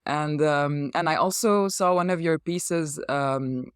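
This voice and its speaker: A man hosting a conversational interview, speaking haltingly as he searches for words. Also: a little high, clear, resonant tone, no echo, clean studio sound. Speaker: interviewer, host.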